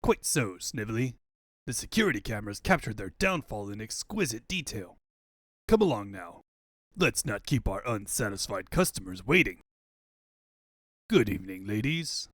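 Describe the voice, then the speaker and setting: A man voicing a pompous, gloating cartoon tyrant with a husky voice and mock politeness in a comic dub. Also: lowish voice, very animated, normal speed, fairly bright tone, dry recording, clean studio sound. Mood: smug. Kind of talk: cartoon-style comic fandub.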